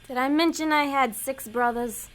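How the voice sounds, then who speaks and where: A woman playing a tough-talking, sarcastic demon hustler in an audio comedy drama, deadpan and streetwise. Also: sits high, moderate pace, lightly acted, poor audio.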